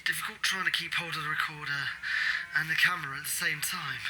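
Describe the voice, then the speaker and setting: A man's voice: on the high side, fairly even intonation, quick pace, natural voice. An actor in an audio drama playing a nervous man muttering secret observations into a handheld recorder. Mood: furtive, tense. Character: nervous amateur snoop recording his secret stakeout. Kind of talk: audio drama, spoken field notes. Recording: noisy outdoor field recorder.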